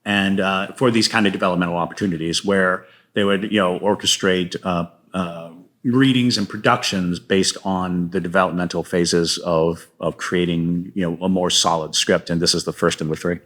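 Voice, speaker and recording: A man talking casually as a playwright guest in an interview, enthusiastic, with a rambling, halting delivery. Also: low-pitched, fairly even intonation, fairly bright tone.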